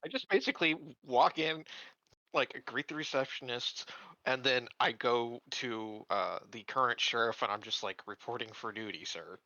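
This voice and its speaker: Bright-voiced male gamer on dull mic. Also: medium pitch, moderate pace, dry recording. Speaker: tabletop game player. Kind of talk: casual game chat.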